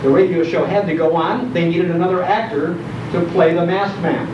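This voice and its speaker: A man's voice: sits high, fairly even intonation, moderate pace. Older American man speaking in echoey hall. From Chicago, United States. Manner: storytelling, rhetorical questions, humorous. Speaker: public speaker on old-time radio. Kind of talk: nostalgic talk to a live audience. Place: indoor program hall before an audience. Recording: echoey hall, distant mic, noisy, dull.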